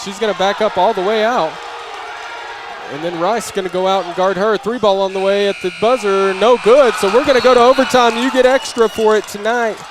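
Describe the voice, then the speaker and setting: High-pitched man calling basketball over crowd noise. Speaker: sports play-by-play announcer. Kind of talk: live basketball play-by-play commentary. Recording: noisy gym with crowd din. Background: crowd and bouncing basketball in gym.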